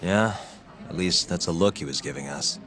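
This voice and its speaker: An actor playing a gruff, world-weary private detective in a video game, blunt, terse and dry. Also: male voice, deep voice, normal speed, a bit bright, faint music behind. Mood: dry, casual. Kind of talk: video game voice acting, cinematic dialogue.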